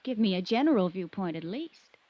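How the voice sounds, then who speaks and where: A woman's voice: mid-range voice, lively intonation, fairly quick, no room sound, natural voice. A woman acting a defiant, headstrong young rancher's daughter in an old-time radio drama. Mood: cool, probing. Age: young adult. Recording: muffled vintage radio recording.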